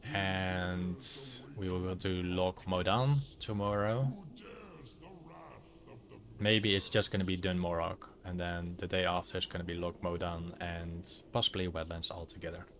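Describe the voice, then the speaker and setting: Low-voiced Dutch man narrating gameplay. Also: level tone. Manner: halting, many fillers, searches for words. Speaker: streamer. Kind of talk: casual gameplay commentary.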